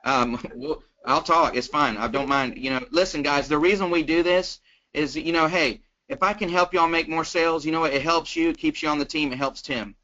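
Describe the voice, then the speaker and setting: A plain-spoken, folksy man coaching casually on sales as a guest trainer, acting out sample pitches as he goes. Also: steady tone, moderate pace, bright, forceful tone. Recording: dull, lo-fi conference call line.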